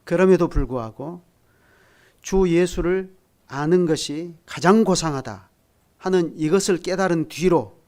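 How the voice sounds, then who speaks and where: Measured older male pastor preaching. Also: on the high side, a little mellow. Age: 60s. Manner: measured preaching that swells to emphatic peaks; speaks directly to the congregation with light chuckles. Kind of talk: sermon at a midweek prayer service.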